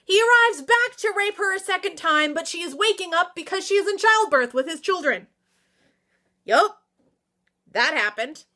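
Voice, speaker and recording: A woman chatting casually to viewers as a book reviewer, excitable, emphatic and slangy, with theatrical asides and exclamations. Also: very high-pitched, moderate pace. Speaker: book reviewer and vlog host. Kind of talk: casual, opinionated book recommendation chat to viewers.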